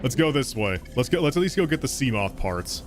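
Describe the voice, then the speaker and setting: A man's voice: medium pitch, very animated, brisk, noisy background. A man streaming a game and chatting casually with his viewers, thanking donors, rambling with lots of fillers and restarts, and laughing often. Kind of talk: casual gaming stream chat, reading donations.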